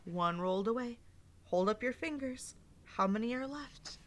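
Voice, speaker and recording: A woman's voice: fairly high pitch, lively intonation, normal speed. A woman teacher doing an interactive read-aloud for young children, explaining simply, asking questions and reading expressively.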